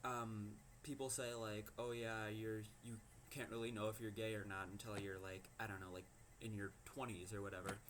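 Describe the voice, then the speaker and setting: Teen boy, fifteen, low voice, halting personal story over faint hiss. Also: level tone, a bit bright. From Minnesota. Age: teens. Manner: hesitant, many ums and likes. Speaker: teen vlogger.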